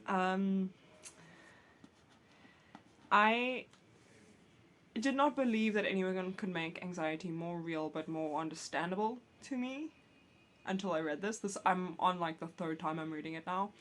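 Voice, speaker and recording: A woman's voice: on the low side, unhurried, pauses often, fairly bright tone. A woman vlogger talking casually to camera about books, a bit phlegmy from a cold, candid and chatty with restarts. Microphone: camera mic, reading with books on her lap.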